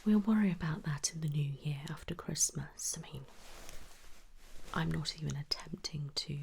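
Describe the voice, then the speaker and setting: A British woman speaking softly and mostly in whispers as a gentle, reassuring doctor in an ASMR medical role-play. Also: low voice, moderate pace, creaky, slight background noise. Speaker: ASMR performer playing a doctor.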